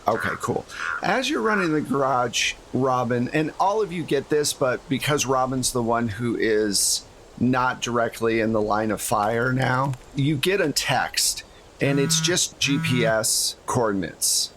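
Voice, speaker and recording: A man's voice: medium pitch, unhurried, noisy background. A man running a tabletop game, narrating casually as game master with a jokey streak.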